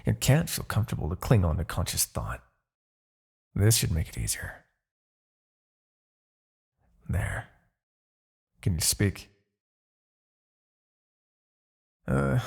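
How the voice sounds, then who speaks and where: A man voicing an arrogant, brooding demon king in a fantasy ASMR roleplay, condescending and theatrical, with a husky, gravelly low voice and pauses. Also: a little mellow, exaggerated voice. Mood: focused, coaxing. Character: arrogant demon king, gloating yet begrudgingly attached captor.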